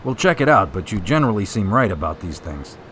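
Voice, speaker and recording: Guy playing skeptical folksy cop in a radio drama, lowish voice, really swoops around, bit of rumble behind. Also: sing-song, fast, fairly bright tone. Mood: skeptical, conceding. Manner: casual, plain-spoken. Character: skeptical, folksy male police officer.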